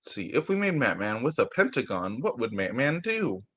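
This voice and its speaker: A young man who teaches young children, reading picture books aloud in a sing-song, expressive way over a video call. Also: medium pitch, normal speed, slightly rough. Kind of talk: read-aloud story time for young children.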